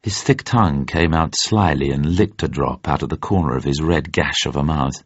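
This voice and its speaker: A man reading a story as a narrator in a measured, suspenseful, dramatic way. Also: a bass voice, breathy edge. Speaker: audiobook narrator. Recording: dull, muffled, roomy radio recording.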